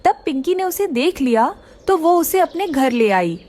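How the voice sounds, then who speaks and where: A woman's voice: high voice, sing-song, unhurried, darkish tone. A woman giving scripted narration for a children's cartoon story.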